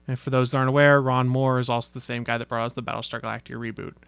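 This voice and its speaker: A man's voice: medium pitch, flat delivery, very fast, vocal fry, a bit bright, clear, resonant tone, dry recording. A chatty male podcast host with an ordinary mid-range voice who laughs easily. He is recorded close and dry, with no room sound. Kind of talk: casual podcast chat.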